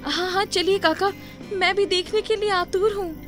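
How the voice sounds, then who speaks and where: An earnest young village girl in a cartoon moral tale, put on by a woman. Her voice is very high and emphatic, respectful but insistent. Music runs clearly behind her. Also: steady tone, moderate pace, fairly bright tone. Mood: eager, amazed. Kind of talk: Hindi animated moral story acting.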